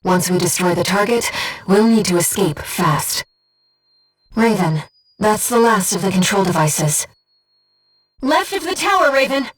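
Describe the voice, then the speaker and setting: A woman voicing an earnest, gentle guide who speaks inside a pilot's head in a mecha video game, in measured scripted delivery. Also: mid-range voice, sing-song, recorded from a distance, faint music behind, rough recording. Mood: focused briefing, then urgent alarm. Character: soft-spoken, earnest female voice in a pilot's head, loyal guide. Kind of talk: scripted video game voice acting, tactical callouts.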